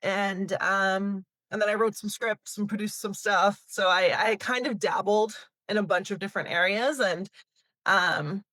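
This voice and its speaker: A woman's voice: mid-range voice, fairly even intonation, a little slow, a bit bright, no echo. A woman in her thirties who grew up in Canada, casually answering interview questions with many fillers and restarts. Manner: chatty, many fillers and restarts, laughs lightly. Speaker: talent agency CEO.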